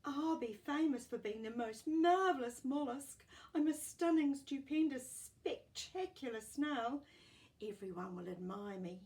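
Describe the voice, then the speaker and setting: Woman putting on a dramatic high-pitched young boy snail. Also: animated, a little slow, a little mellow. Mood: thrilled. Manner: whiny, exclamatory, stretches out words. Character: discontented young male snail, dramatic, yearning for fame.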